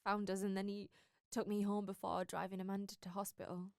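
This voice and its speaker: A British actress playing an earnest, protective sister burdened by guilt in a drama. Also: female voice, mid-range voice, little pitch movement, fairly quick, gravelly, dry recording, studio quality, lightly acted. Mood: guilty, confessing. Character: protective, guilt-ridden sister defending her brother. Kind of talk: British audio drama acting.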